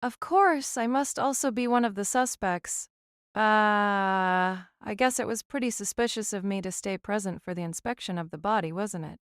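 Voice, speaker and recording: Woman playing a breezy, menacing old noblewoman, smooth-voiced. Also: medium pitch, normal speed, no room sound, studio quality, natural voice. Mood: coy amusement. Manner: breezy, teasing, nonchalant. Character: shrewd, casually menacing old noblewoman mage, a realist. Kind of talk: audiobook character acting.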